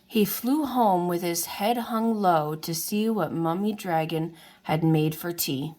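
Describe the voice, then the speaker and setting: Woman reading a kids book out loud, really slow, slightly low voice. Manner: unhurried, addresses young listeners. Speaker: children's book reader. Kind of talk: read-aloud storybook narration.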